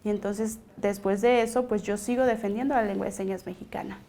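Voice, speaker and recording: A woman giving personal testimony in a documentary segment, deliberate and firm, in short phrases. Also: mid-range voice, steady tone, mellow.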